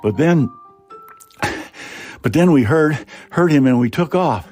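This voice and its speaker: A man voicing an excitable, frightened young child who stammers and tends to fib, in a short story reading. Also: fairly high pitch, measured pace, faint music behind, voice pushed up. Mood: anxious, excited. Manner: stammering, breathless delivery.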